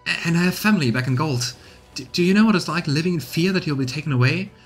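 Man putting on a high, panicked captive assassin. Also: fast, faint music behind. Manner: stammering, pleading. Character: panicked, defiant captured male assassin. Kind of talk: voiced fantasy game dialogue.

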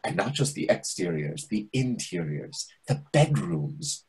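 A man's voice: low-pitched, sing-song, measured pace, a little mellow, full character voice. A middle-aged man playing a chatty, nosy, self-important waiter in a comedy play reading, pompous and insinuating. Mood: boastful. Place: indoors on a video call. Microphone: video call microphone.

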